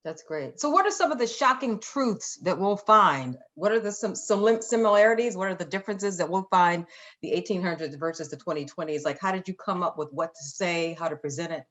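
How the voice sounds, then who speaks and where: A woman's voice: lowish voice, expressive, a little fast, a bit bright. A woman hosting a casual, chatty live interview, playful and generous with praise. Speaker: radio interview host.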